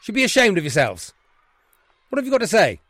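A man acts out a stern headmaster telling off boys in a comedy sketch. The voice is loud, pushed high and emphatic, repeating points indignantly. The recording is dry. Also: huge pitch swings, a little fast, dry recording, exaggerated voice. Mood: scolding. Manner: loud, emphatic, scolding, repetitive.

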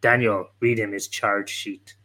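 A man's voice: on the low side, flat delivery, measured pace, sharp tone, pitched below the performer's own voice. A man playing a patronising, mock-stern police detective in an improvised comic radio play. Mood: officious, stern. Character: folksy mock-stern male police detective interrogating a suspect.

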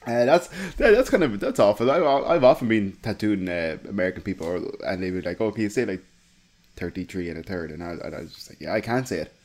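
An Irish man co-hosting a podcast, talking quickly and laughing easily. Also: fairly low pitch, sing-song, a little mellow. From Ireland. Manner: fast talker, laughs often. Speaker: podcast co-host, tattooist.

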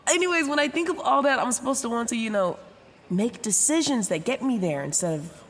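A woman's voice: fairly high pitch, animated, a little fast. Messy, frank young woman, reverberant sound. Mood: reflective. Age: young adult. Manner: rambling, self-interrupting, sarcastic, casual slangy talk. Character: messy, frank young woman, newly sober, foul-mouthed. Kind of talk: confessional first-person audio drama narration.

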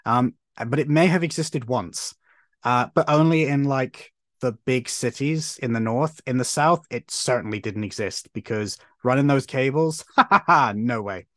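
Animated storytelling man from Cornwall. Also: medium pitch, measured pace, no room sound, studio quality. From Cornwall, England. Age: 30s. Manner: animated storytelling, frequent restarts. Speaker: podcast guest. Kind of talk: casual conversation.

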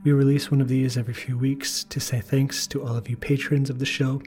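A man presenting a podcast episode as a soothing bedtime introduction, with soft, lingering, hushed phrasing. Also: medium pitch, flat delivery, brisk. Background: music under the voice.

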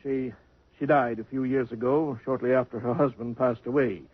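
An actor playing a gruff, stingy elderly millionaire in a 1950s radio drama. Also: male voice, medium pitch, fairly even intonation, normal speed, lightly acted. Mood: reminiscing. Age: older. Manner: blustery and cantankerous. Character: gruff elderly rich man, impatient, stingy. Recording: dull vintage radio broadcast.

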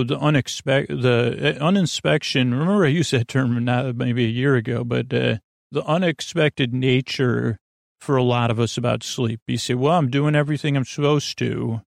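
Guy podcast host rambling to help ppl sleep, really creaky gravelly voice, keeps trailing off, dry close sound. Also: medium pitch, dry recording. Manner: meandering, halting, trailing off and circling back. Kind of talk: rambling bedtime monologue to help listeners sleep.

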